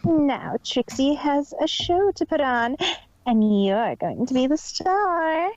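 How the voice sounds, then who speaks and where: A man voicing a boastful, cruel female magician pony in a theatrical, drawn-out manner for an audio story. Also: extremely high pitch, lively intonation, dry recording, highs cut off, voice pushed up, full character voice. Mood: sneering, menacing. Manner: theatrical, drawn-out, speaks of self in third person.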